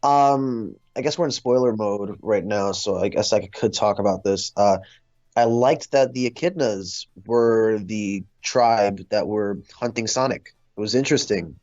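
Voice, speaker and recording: A man with a lowish voice hosts a casual film chat. He speaks hesitantly, feeling his way through sentences. The sound is very dry and a little lo-fi. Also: a little mellow, dry recording. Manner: hesitant, halting delivery. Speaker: film discussion host.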